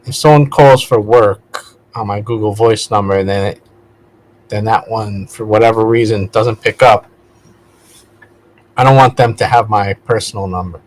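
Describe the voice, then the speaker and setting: A man's voice: fairly low pitch, normal speed, pauses often, slight background noise, poor audio. A man talking casually as a vlog host, digressing and correcting himself as he goes in a conversational way. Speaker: video host, vlogger. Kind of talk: casual rambling spoken vlog.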